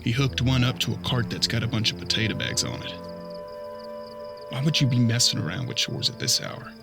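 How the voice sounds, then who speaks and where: An actor playing a young, inquisitive apprentice detective in a western audio drama, with a folksy, questioning manner. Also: male voice, mid-range voice, big pitch swings, fairly quick, creaky, music in the background. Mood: puzzled, observant.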